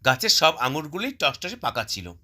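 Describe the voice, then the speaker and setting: A man's voice: fairly high pitch, very animated, normal speed, pushed and bright. A man narrating a scripted cartoon story as the storyteller.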